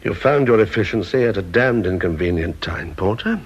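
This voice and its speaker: A stiff, strict British supervisor in a radio drama. His low, slightly rough voice is clipped and formal. The sound is dull, like an old broadcast. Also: expressive, normal speed. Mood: stern, impatient. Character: stiff, officious, strict male security supervisor.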